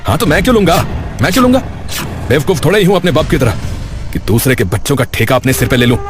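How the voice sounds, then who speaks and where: A man's voice: on the high side, animated, fast, music in the background, close to an everyday voice. A man playing a bitter, hot-tempered village father in an audio story, indignant and scolding. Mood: angry, resentful. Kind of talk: Hindi audio story drama.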